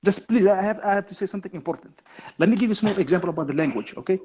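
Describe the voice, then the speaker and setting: A man's voice: sits high, very fast, crowd noise. A man whose first language is Arabic, a debate guest who keeps pleading to be allowed to continue, hesitating with many fillers. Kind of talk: heated online panel debate.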